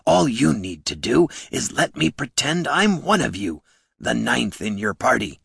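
A wistful troll acted by a man in a fantasy storybook. He speaks slowly and with drawn-out longing, a lonely creature who wants to belong. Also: medium pitch, sing-song, creaky, fairly bright tone, husky, no room sound, studio quality, pitched above the performer's own voice, full character voice.

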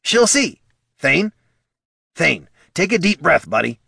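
A man's voice: on the high side, big pitch swings, takes it slowly, creaky, bright, forceful tone, slightly rough, dry recording, studio quality, pitched above the performer's own voice, full character voice. A man voicing a small, scrappy male kobold who rambles nervously and talks himself in circles. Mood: coaxing.

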